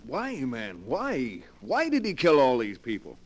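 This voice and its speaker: An earnest inspector in an old radio mystery. His mid-pitched voice rises and falls dramatically, exclamatory and full of disbelief. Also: wildly swooping pitch, measured pace, full character voice. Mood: shocked. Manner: exclamatory, incredulous. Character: astonished, earnest police inspector. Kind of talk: 1940s radio mystery acting.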